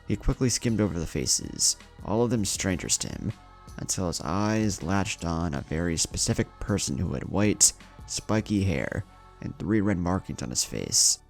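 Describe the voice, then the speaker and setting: Man, story narrator, deep voice, animated intonation, dramatic scripted delivery. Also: normal speed, vocal fry, faint music behind. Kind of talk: dramatic narrated fan fiction reading with character dialogue.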